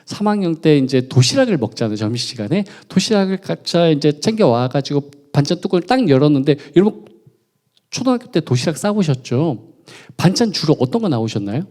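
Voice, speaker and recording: A man gives a sermon in a church. His voice sits a little high and sounds soft and mellow, with pitch that swings up and down. He keeps it chatty, jokes with the congregation and asks them questions. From Gunwi, North Gyeongsang, South Korea. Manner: playful, chatty, jokes and quizzes the audience. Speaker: pastor. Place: church during a midweek service.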